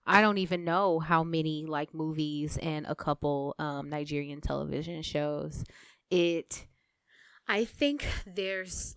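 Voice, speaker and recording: A woman chatting on a podcast, explaining things in a slow, measured way with many fillers. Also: lowish voice, clean tone, dry recording, clean studio sound. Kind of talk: casual podcast chat.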